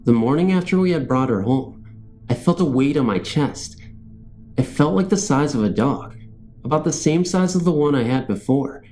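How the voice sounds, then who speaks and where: A man's voice: medium pitch, animated, moderate pace, mellow, soft background music. A man reading scary stories as a narrator, in a scripted storytelling style.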